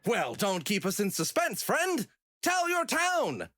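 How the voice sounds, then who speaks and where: A man's voice: sits high, huge pitch swings, slow, a bit bright, no room sound, clean studio sound, heavily acted. A man voicing a clever, theatrical fox showman in an audio drama. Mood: grandiose showman. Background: music.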